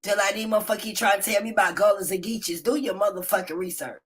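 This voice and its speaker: A woman hosting a casual livestream, telling stories in a loud, profane and animated way. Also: fast talker, breathy edge. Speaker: livestream host. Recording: low-quality phone stream.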